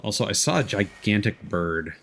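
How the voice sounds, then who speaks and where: A man doing casual, chatty live game commentary, quick to react out loud. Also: on the low side, animated, gravelly, slight background noise. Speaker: game livestreamer, host.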